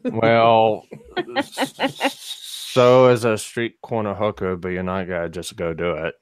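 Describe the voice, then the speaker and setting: A man with a slightly raspy, froggy throat, chatting wryly and jokingly on a casual live stream. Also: lowish voice, lively intonation, slow pace, no room sound. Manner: wry, joking. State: froggy throat that will not clear.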